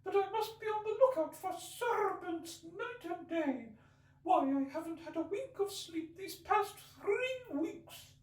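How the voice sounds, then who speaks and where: A man voicing a fretful, indignant mother pigeon from a storybook, shrill and scolding. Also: expressive, unhurried, echoey room, far from the mic, low-quality recording. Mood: sobbing complaint. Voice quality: shrill, screechy. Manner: scolding, sobbing, contemptuous.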